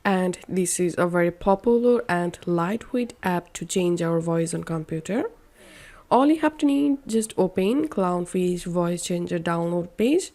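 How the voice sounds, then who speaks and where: A woman narrating a step-by-step software tutorial in simple, instructional wording. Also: fairly low pitch. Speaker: tutorial host.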